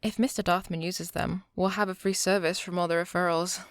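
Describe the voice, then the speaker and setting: Actress playing efficient woman assistant, crisp clear voice, lowish pitch, businesslike, audio roleplay. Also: steady tone, fairly quick, no room sound, studio quality, natural voice. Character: Ruby, brisk, efficient female ghost-team assistant.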